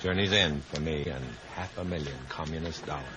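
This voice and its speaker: An actor playing a wary, wry undercover courier in a dry, hard-boiled 1950s radio drama style. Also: male voice, rumbling low, steady tone, a little fast. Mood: wry narrating. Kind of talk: 1950s American radio drama acting with narration.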